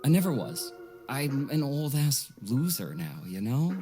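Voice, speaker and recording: A man's voice: mid-range voice, big pitch swings, measured pace, warm tone. A man playing a self-deprecating washed-up rock musician and affectionate boyfriend in a naturalistic audio drama, wry and casual. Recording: music under the voice.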